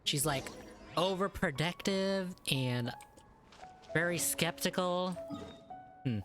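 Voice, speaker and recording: A woman's voice: lowish voice, takes it slowly. A woman giving casual, informal reaction commentary as she plays a game, thinking aloud. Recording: game music behind voice. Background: game soundtrack.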